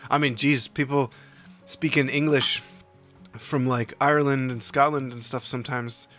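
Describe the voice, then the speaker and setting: A young man streams from home and chats casually with his viewers in a mid-pitched voice. He rambles, laughs often and reads questions from chat aloud. Soft music plays faintly behind him. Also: steady tone, normal speed. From New Jersey. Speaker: livestream host.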